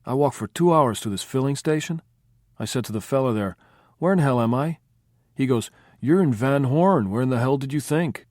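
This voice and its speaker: A man voicing a cocky, blunt small male drummer, telling rough stories. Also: on the low side, brisk, darkish tone, no room sound, studio quality, pitched above the performer's own voice, lightly acted.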